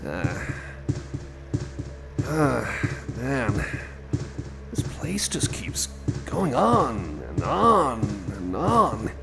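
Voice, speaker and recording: A man doing a rambling, improvised ASMR roleplay as a nervous, friendly companion, halting and stammering as he repeats his phrases. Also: mid-range voice, huge pitch swings, gravelly. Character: nervous, friendly male cyborg companion lost in endless corridors. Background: music.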